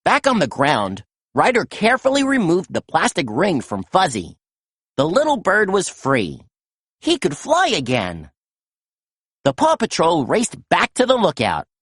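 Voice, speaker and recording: A woman narrating a children's story as an animated read-aloud storyteller. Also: fairly low pitch, unhurried, husky, no echo, clean studio sound.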